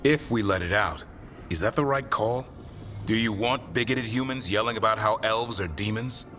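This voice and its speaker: An actor playing a blunt, wry elf warrior in a fantasy video game, with dry, plainspoken delivery. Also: male voice, lowish voice, normal speed, pushed and bright.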